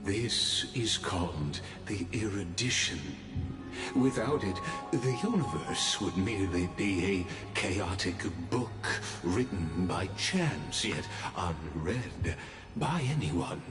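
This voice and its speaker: An actor playing a theatrical, erudite storyteller in a game, speaking in a grand, measured, playful way. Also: male voice, deep voice, expressive. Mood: musing, playful. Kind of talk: scripted game dialogue. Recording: echoing game audio under music.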